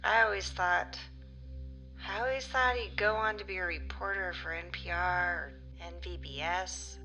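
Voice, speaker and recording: A middle-aged actress playing a grieving mother of a missing son, halting and emotional, in a naturalistic audio drama interview. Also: lowish voice, sharp tone, lo-fi sound. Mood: wistful.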